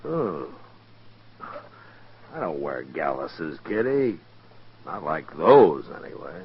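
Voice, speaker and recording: An actor playing a dry, stubborn frontier marshal in a 1950s radio western, with a laconic, folksy delivery. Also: male voice, very deep voice, sing-song, slow, a little hiss or hum, heavily acted. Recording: muffled, dull 1950s radio recording.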